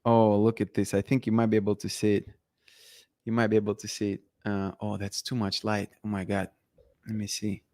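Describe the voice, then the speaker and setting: A man's voice: lowish voice, monotone, a little fast, a little mellow. A man hosting a casual live chat, reading viewer comments and talking with many restarts and fillers. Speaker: livestream host.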